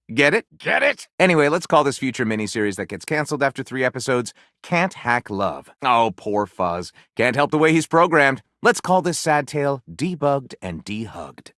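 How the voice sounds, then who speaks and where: Excitable pulp author, game character, higher-pitched, very animated intonation, wry, mock-literary, flamboyant. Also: male voice, dry recording, studio quality. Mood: mock-dramatic, wry. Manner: dramatic, wry, punchy delivery with flippant asides. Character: excitable, sardonic pulp-fiction author, flamboyant and self-pleased. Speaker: video game voice actor. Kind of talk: theatrical comedic game dialogue, mock-literary narrating.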